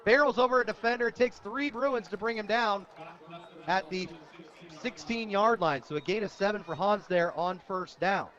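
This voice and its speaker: An American man calling high school football play-by-play on the radio, excitable when a big play comes. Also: moderate pace. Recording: dull, lo-fi outdoor radio broadcast.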